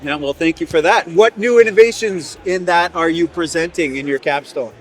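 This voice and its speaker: A man interviewing students at a networking event, upbeat and encouraging, with quick follow-up questions. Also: a little high, a bit bright.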